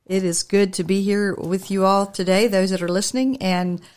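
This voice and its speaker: A middle-aged woman pastor hosting a radio talk show, speaking gently and unhurriedly with frequent ums. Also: medium pitch, fairly even intonation, moderate pace.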